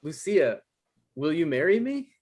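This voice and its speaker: A man acting an anxious, defensive novelist in a table read, speaking in a halting, earnest way. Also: a little high, very animated, darkish tone. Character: anxious, defensive male novelist caught in romantic trouble.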